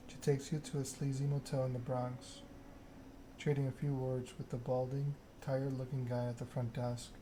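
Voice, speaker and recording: A man narrating a story game aloud in ASMR style, reading in a measured way with occasional stumbles. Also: medium pitch, flat delivery, background noise.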